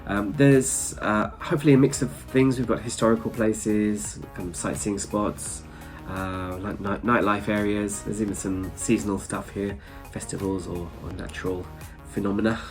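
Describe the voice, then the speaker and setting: Man, stuffy nose, lowish voice, casual chatty vlog talk, faint music underneath. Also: steady tone, moderate pace, few pauses. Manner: chatty, rambling, with chuckles. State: congested from hay fever. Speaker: travel vlogger. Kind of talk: casual chat to camera.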